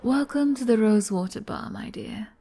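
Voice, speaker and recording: A woman playing a flirty, gentle arbiter bartender in an ASMR roleplay, soft-spoken and coy as she explains things patiently. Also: a little high, moderate pace, creaky, husky.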